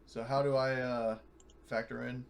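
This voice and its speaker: A man's voice: mid-range voice, level tone, normal speed, creaky. A man chatting casually as a player in a tabletop role-playing game, acting as a pilot. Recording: noisy mic with clicks.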